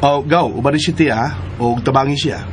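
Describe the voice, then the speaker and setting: A man's voice: mid-range voice, fairly quick, a little hiss or hum, natural voice. An actor playing a cheerful, affectionate young husband and father in a Philippine radio drama.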